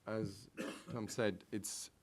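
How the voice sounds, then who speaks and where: Deep-voiced Belgian man with French accent. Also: little pitch movement. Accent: French-speaking Belgian. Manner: halting, many ums and restarts, wry. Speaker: trade negotiator on a panel. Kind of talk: panel remarks on trade policy.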